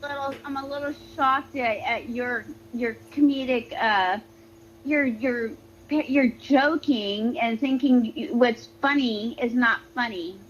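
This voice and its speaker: Muffled, high-voiced woman on a noisy connection. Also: unhurried. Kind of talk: casual argumentative livestream chat.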